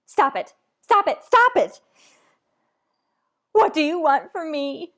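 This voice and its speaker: Nervous, demanding wife played by a woman for a retro radio thriller: high voice, slow dramatic delivery, overwrought. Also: big pitch swings, heavily acted. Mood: desperate, indignant. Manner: overwrought, imperious, melodramatic. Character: frantic, nervous, demanding married woman home alone.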